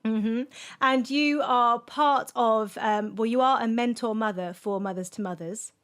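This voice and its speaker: A woman's voice: on the high side, measured pace, fairly bright tone. A woman hosting an interview podcast, encouraging her guest with small affirmations over a remote connection. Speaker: podcast host. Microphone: remote recording.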